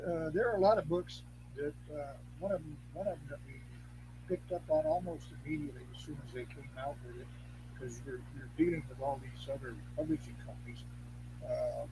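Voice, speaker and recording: An older man talks as a podcast guest in a fairly high voice. He rambles casually and haltingly, repeating words for emphasis. A clear hum and noise sit on the recording. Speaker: podcast guest, elder of a witchcraft tradition. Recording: noisy line with hum.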